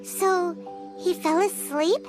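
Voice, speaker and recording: A woman voicing a tiny, bubbly, excitable fairy companion in a video game, with a squeaky childlike voice and an exclamatory manner. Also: takes it slowly. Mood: puzzled. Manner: refers to self in third person, exclamatory. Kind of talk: animated game character acting. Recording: loud game music underneath.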